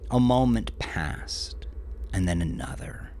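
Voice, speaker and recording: A man narrating dark, violent fiction in an intense, theatrical manner that swings between hushed and forceful. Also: low-pitched, expressive, takes it slowly, a little hiss or hum.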